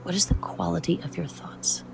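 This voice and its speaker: A meditation guide speaking in a soothing, measured way with frequent pauses and a soft, at times breathy voice. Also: medium pitch, steady tone, music in the background.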